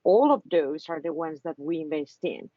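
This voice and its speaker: A woman with a Spanish accent talks over a muffled video call, her voice fairly low and close and dry. She speaks with frequent fillers and restarts as she explains her work to students. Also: animated, moderate pace, no room sound. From Spain. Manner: hesitant, many fillers and restarts. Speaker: venture capitalist guest speaker. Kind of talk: online talk to students.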